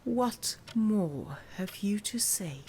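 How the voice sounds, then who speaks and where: A woman's voice: medium pitch, lively intonation, extremely slow, warm tone, a little hiss or hum. A woman playing a cold, haughty, imperious guardian aunt with formal speech in an audio drama. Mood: icy anger.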